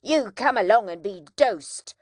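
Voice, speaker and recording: A woman reading aloud, voicing a harsh, sharp-tempered older sister who scolds loudly. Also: medium pitch, huge pitch swings, very slow, no echo, clean studio sound, full character voice. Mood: scolding.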